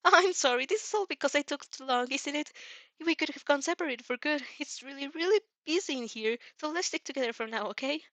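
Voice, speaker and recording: A woman's voice: sits high, a little fast, a bit bright, no echo, voice pushed up. A woman voicing an anxious, apologetic, shy teenage boy, earnest and flustered, in a fan fiction reading. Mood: worried.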